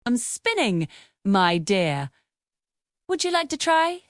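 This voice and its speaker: A woman's voice: a little high, sing-song, slow pace, dry recording, studio quality. A woman voicing a wicked sorceress disguised as an old woman in a storybook tale, coaxing with false sweetness. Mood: sly coaxing.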